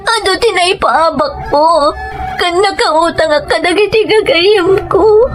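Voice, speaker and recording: A woman with a Filipino accent playing a remorseful, desperately pleading wife in a radio drama, her voice tearful. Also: measured pace. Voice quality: high, tearful voice. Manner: pleading, apologetic. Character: remorseful wife who gambled away her husband's money, pleading desperately. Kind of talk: Ilocano radio drama acting. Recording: music bed under the voice.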